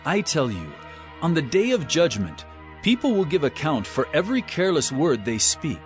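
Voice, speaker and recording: A man's voice: fairly high pitch, sing-song, normal speed, soft background music. An actor playing a stern, earnest male teacher with authority, declaiming emphatically in a dramatized scripture production. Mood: stern rebuke.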